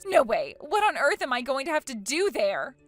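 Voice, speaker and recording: A woman's voice: high-pitched, sing-song, moderate pace, no echo, soft background music. A woman voicing a spoiled, vain woman in her late twenties in acted story dialogue, dramatic, whiny and indignant. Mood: indignant disbelief. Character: spoiled, vain, panicky 29-year-old woman.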